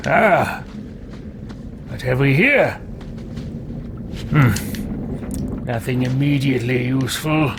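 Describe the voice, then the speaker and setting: A man's voice: medium pitch, slow pace, vocal fry, long pauses, heavy background noise. A man roleplaying a haughty, power-hungry villainous mage in a video game, with a pompous, imperious, theatrical delivery. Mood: smug.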